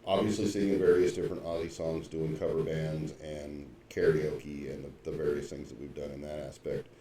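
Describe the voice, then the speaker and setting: A man's voice: extremely low pitch, level tone, normal speed, dark tone. An older man co-hosting a podcast, chatting casually and reflectively in a rambling way. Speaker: podcast co-host. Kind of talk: casual chat. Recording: roomy echo from far off the mic.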